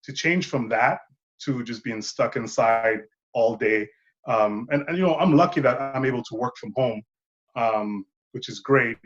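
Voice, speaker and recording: A man's voice: medium pitch, measured pace, lo-fi sound. A man in his thirties from Saint Lucia, a filmmaker guest talking thoughtfully and rambling a bit. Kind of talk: casual interview chat.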